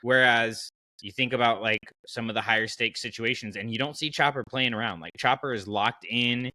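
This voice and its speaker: Male podcast host, bright and punchy, very dry sound, casually arguing his case in everyday slang. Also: mid-range voice, moderate pace, dry recording, poor audio. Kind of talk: casual fan podcast discussion.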